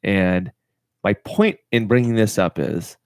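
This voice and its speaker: Guy hosting a podcast, kinda low voice, rambles on and swears a lot, dry close mic. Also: slow, smooth, no echo. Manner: rambling storyteller, swears freely, laughs often. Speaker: podcast co-host. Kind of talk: casual podcast chat.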